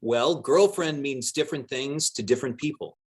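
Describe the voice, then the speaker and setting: Man voicing male politician in deposition reading: dodging, pedantic, deliberate, guarded. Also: mid-range voice, husky, voice pushed up. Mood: evasive. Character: evasive, hair-splitting male politician under questioning. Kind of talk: dramatic reading of a deposition transcript.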